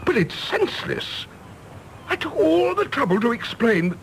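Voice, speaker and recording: An actor playing a proud, embittered English career diplomat, formal, clipped and argumentative, in a period radio drama. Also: male voice, very high voice, sing-song, measured pace, gravelly, slight background noise, exaggerated voice, poor audio. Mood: indignant. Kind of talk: period stage-play radio drama acting.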